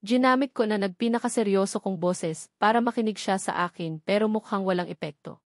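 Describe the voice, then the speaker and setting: A woman's voice: mid-range voice, fairly quick, dry recording. Woman narrating a romance novel, dry recording. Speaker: audiobook narrator. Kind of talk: scripted first-person romance narration.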